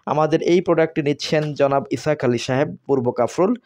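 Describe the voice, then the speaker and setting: A man with a Bangladeshi accent giving unscripted sales talk as a seller, explaining haltingly and repeating himself. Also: fairly high pitch, a little mellow. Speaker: seller presenting products on video.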